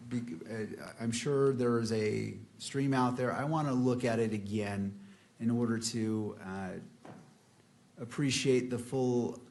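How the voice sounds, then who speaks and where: A man's voice: mid-range voice, a little mellow. A man speaking as a judge in court, unscripted, hesitating and searching for his words.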